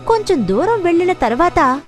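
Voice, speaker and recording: A woman's voice: very high voice, very animated, normal speed, soft background music. A woman storyteller reading scripted narration for a children's story.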